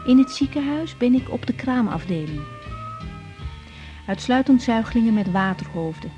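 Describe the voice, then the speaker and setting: A woman's voice: medium pitch, natural voice. A woman playing a pensive young doctor. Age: young adult. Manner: musing inner narration, soft and intimate. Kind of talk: 1980s radio drama acting.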